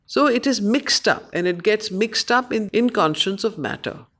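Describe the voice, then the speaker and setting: A woman with an Indian accent, facilitating a study circle, reading and explaining verse in short, measured phrases. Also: medium pitch, expressive, fairly bright tone. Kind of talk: reading poetry aloud and explaining it to a study group.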